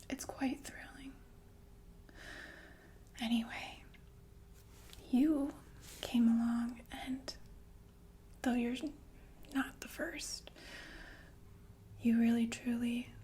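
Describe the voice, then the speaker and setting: A woman playing a calmly menacing, sadistic killer nurse in an intimate, deliberate ASMR roleplay full of pensive pauses. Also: fairly high pitch, a little hiss or hum. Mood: menacing musing, then menacing confiding. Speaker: ASMR performer. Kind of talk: ASMR roleplay monologue in whispers.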